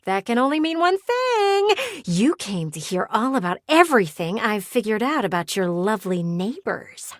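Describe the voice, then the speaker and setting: A woman's voice: mid-range voice, big pitch swings, normal speed, no room sound, clean studio sound, close to an everyday voice. A woman voicing a nosy, sassy talking window in a video game, chatty and theatrical like a gossiping neighbor. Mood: playful, excited. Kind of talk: video game character voice acting.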